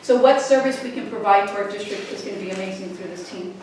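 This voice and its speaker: A woman's voice: mid-range voice, quick pace, darkish tone. A woman giving an informal executive report to a board, hesitant and often restarting her phrases. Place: indoor board meeting room. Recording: very echoey room, distant mic, faint noise.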